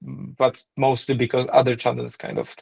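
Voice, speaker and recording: A man with a Polish accent answers a podcast host's questions in English, a language he learned later. He thinks aloud, restarting phrases and checking in with "right?". His voice sits at an ordinary middle pitch. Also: little pitch movement, moderate pace, gravelly, a little mellow, breathy edge. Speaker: co-founder and marketing lead, guest. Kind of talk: podcast interview.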